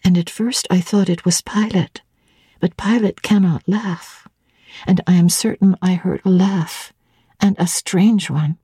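A woman's voice: on the low side, steady tone, measured pace, mellow, breathy edge, dry recording, clean studio sound. A woman voicing a young governess in an audiobook, polite but wary, earnest and insistent in her questioning. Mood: probing.

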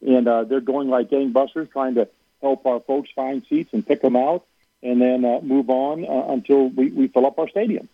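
A university athletic director, a man, calls in to a sports radio show and explains things at length, stumbling through fillers and restarts. His voice is mid-pitched and comes over a tinny, narrow phone line. The sound is dry, with no room echo. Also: steady tone, normal speed.

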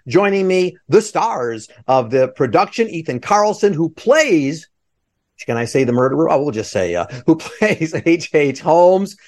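A man's voice: a little high, big pitch swings, a little slow, darkish tone. A man hosting a podcast interview with enthusiasm and joking asides. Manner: jokey asides. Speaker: podcast host.

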